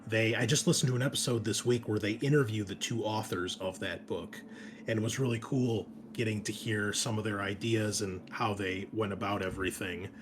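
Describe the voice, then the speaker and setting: A man's voice: on the low side, steady tone, fairly quick, noisy background. A man hosting and running a tabletop game session, chatting casually and welcoming his players in a friendly way. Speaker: game master and show host.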